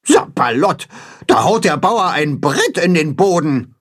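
A blustering storybook gander, acted by a man, scolds in alarm. The voice is raised and husky, with dramatic swoops. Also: on the high side, very animated, measured pace, a bit bright, pitched above the performer's own voice, heavily acted. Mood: indignant alarm. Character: blustering, alarmist gander with old-fashioned exclamations.

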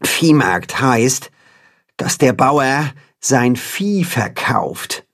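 A storyteller voicing a patient, serious farm cow who speaks slowly and calmly. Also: mid-range voice, no room sound, studio quality, close to an everyday voice.

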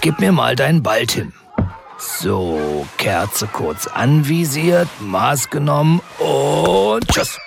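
A man playing a jovial, fussy kindergarten head in a children's radio comedy, with a lively, tinkering manner. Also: mid-range voice, slow pace, gravelly, music in the background, exaggerated voice. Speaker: kindergarten manager.

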